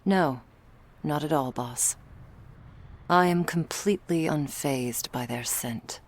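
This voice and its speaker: A woman voicing a guarded, deferential female vampire bodyguard in an ASMR roleplay, speaking in a flat, dutiful manner. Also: low-pitched, takes it slowly. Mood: stoic.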